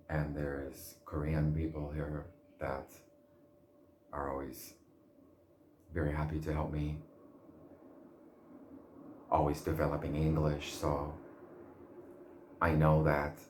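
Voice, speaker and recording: A man talking to the camera in a slow, deliberate way, with pauses and soft laughs. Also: extremely low pitch. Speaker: language learner vlogger. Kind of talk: casual monologue. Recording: roomy with faint background hum.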